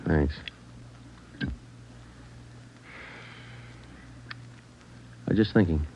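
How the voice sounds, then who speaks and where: An American man acting a terse, businesslike police detective in a deadpan, clipped old radio style. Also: a bass voice, rapid-fire, husky. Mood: low-key. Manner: deadpan, matter-of-fact. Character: terse, businesslike male police detective narrating his case. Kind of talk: 1940s radio acting, clipped procedural narration. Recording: dull vintage radio recording with music.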